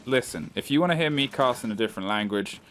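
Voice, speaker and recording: A man's voice: medium pitch, animated, fast, a bit bright, slight background noise. A man giving live commentary and analysis on a competitive video game match. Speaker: esports commentator.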